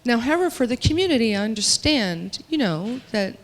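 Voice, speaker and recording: A woman's voice: on the high side, big pitch swings, fairly quick. Woman speaking in a reverberant hall. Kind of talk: panel discussion. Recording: long reverb of a large hall.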